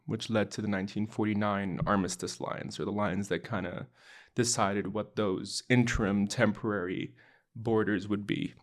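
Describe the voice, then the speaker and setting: A young man explaining political history in a loose, unscripted way, leaning on fillers like "kinda" and "right". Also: lowish voice. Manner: casual, uses filler words like kinda and right. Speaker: podcast host.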